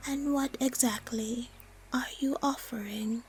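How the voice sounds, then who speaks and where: A woman playing a haughty, suspicious, disdainful spider monster in a fantasy audio roleplay, with a breathy, often whispery voice. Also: fairly high pitch, steady tone, darkish tone, faint music behind, lightly acted, rough recording. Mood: cold, suspicious. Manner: slow, disdainful, haggling.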